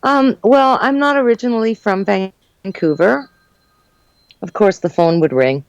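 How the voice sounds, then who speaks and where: Older woman, interview guest, smooth voice, relaxed storytelling, lo-fi video call sound. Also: mid-range voice, a little slow, dry recording. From Uruguay, raised in New Jersey and New York. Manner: warm, laughs often, with fillers. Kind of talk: casual conversational storytelling.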